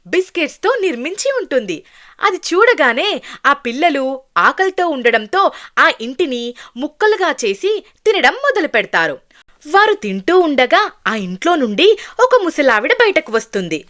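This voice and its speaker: A woman's voice: high voice, fairly bright tone. A woman narrating a scripted fairy tale for children as a storyteller.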